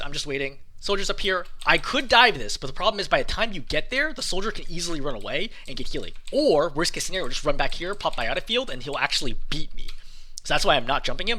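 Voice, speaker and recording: A man coaching over gameplay footage, explaining his moves in a quick, lively way and sounding a little hoarse. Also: on the high side. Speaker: game coach. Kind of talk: gameplay commentary.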